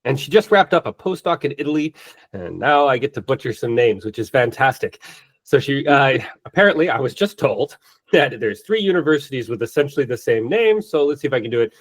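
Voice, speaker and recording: A man's voice: medium pitch, big pitch swings, brisk. Male podcast host, thirties, playful punster, casual interview tone. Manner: playful, punning and self-deprecating.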